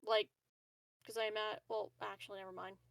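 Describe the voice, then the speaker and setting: A woman's voice: medium pitch, little pitch movement, moderate pace, creaky, a bit bright, no echo, studio quality. A woman chatting casually as a player in a tabletop game, tentative and backtracking mid-thought.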